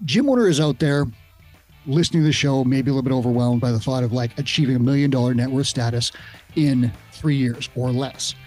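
A middle-aged man hosting a podcast, speaking in a measured, conversational way as he sets up questions for his guest. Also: mid-range voice, darkish tone, faint music behind. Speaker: podcast host. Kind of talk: casual podcast interview. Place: home studio with shelves. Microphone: studio podcast mic with headphones.

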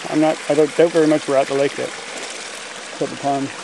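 A middle-aged Canadian man talks casually to the camera as a canoe trip host. His voice sits a little higher than most men's. He rambles along in a halting, storytelling way, recorded outdoors at close range. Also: flat delivery, noisy background. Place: outdoors in woods and by lakes. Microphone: camera mic at close range.

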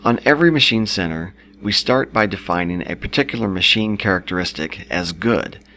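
A man reading a scripted instructional product narration in a measured, explanatory manner. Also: fairly low pitch, animated, sharp tone, slight background noise.

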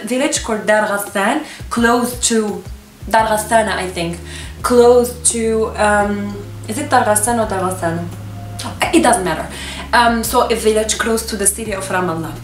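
Young woman, native Arabic speaker, higher voice, gushing book vlogger, roomy distant camera mic. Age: 20s. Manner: expressive, gushing, emphatic. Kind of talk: casual book recommendation to camera. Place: small furnished room with books, indoors. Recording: echoey room, distant camera microphone.

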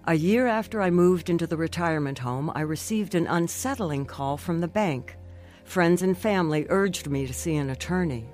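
An actress playing an earnest older woman narrating her life story in a measured radio drama style. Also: fairly low pitch, expressive, a little mellow, soft background music, lightly acted. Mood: earnest, reflective.

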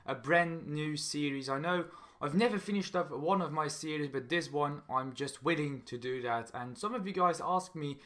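Young man, Belgian accent, slightly high pitch, bright forceful tone, casual gameplay commentary, halting repeated phrases. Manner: halting non-native English with repeated phrases. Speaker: gaming channel host.

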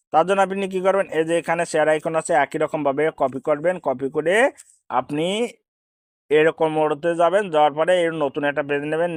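A man's voice: on the high side, no echo. A man races through an informal step-by-step tutorial. He often repeats his phrases and addresses the viewer as brother. The sound is dry and close, with very little room around the voice. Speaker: tutorial host. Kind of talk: informal screen-recorded how-to tutorial.

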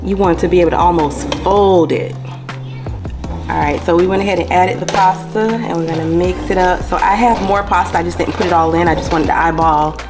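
A woman's voice: on the low side, normal speed. Chatty woman hosting a home cooking vlog. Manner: chatty, keeps addressing viewers as y'all. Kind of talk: casual cooking narration. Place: home kitchen. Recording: music under the voice. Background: background music.

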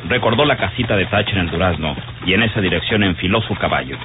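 Radio serial narrator, man, deep, scripted storytelling, strong music bed, phone-like old recording. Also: quick pace. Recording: tinny old radio broadcast, loud music behind.

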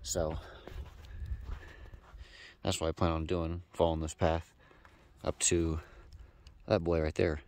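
Young man from Tennessee, deep voice, excitable chatty vlogger, recorded outdoors with noticeable background noise. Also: slow pace, long pauses. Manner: chatty, excitable storytelling with frequent laughs and restarts. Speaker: travel vlogger. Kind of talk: casual solo travel vlog. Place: outdoors at a campsite and on sand dunes.

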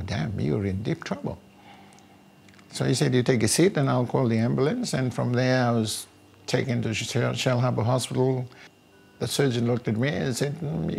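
An older man from a small island, telling his personal story in an interview in a reflective, halting way. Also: medium pitch, creaky, darkish tone. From small Pacific island north of Fiji. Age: older, about 60. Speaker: housing tenant giving a testimonial. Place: small living room at home, indoors. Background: background music.

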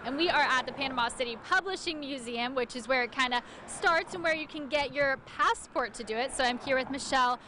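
Bright upbeat woman reporting live outdoors. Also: sits high, slight background noise. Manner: upbeat, quick questions. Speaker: field reporter. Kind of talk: live TV field interview. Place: outdoors on a town street. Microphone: handheld mic.

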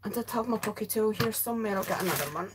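A woman's voice: medium pitch, rapid-fire, noisy background. A tired middle-aged Scottish woman chatting in a rambling way while crafting live and answering viewers. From Scotland. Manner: chatty, rambling, self-interrupting. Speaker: crafting livestream host. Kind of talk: casual chat while crafting, reading viewer comments. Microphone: overhead camera over craft desk.

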